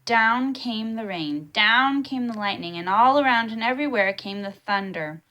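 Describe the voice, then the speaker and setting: A woman narrating a storybook in an expressive read-aloud style. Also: fairly high pitch, moderate pace, muffled recording. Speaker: storybook reader.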